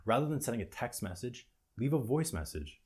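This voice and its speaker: A man coaching viewers on presentation skills in an instructional talk, speaking emphatically in short phrases with deliberate pauses. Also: mid-range voice, sing-song, darkish tone, husky.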